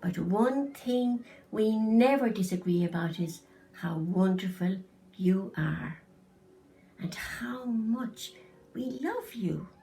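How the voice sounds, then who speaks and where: Storybook mother, read by a woman. Gentle, concerned, careful, unhurried delivery. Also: mid-range voice, expressive, vocal fry, recorded from a distance, voice pushed down, poor audio. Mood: gentle, sad. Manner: soft, careful, soothing. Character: gentle, concerned mother consoling her child. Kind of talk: storybook reading.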